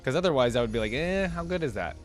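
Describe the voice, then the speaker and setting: A man's voice: mid-range voice, lively intonation, fast talker, faint music behind. A man giving casual reaction commentary as a host, conversational and thinking aloud.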